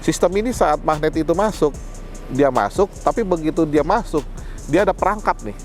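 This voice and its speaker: A man's voice: a little high, expressive, a little fast, a little mellow, soft background music. A man giving a casual product demonstration, explaining things colloquially and speaking directly to viewers. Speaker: product presenter.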